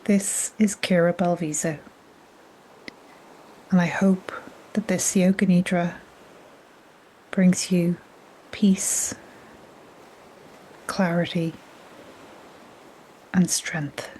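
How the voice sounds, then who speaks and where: Slow, soft-spoken woman guiding relaxation. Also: on the low side, rough recording. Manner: soft, breathy, half-whispered, soothing. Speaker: yoga nidra guide. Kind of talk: guided relaxation meditation. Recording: steady soft noise like ocean waves. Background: gentle ocean waves.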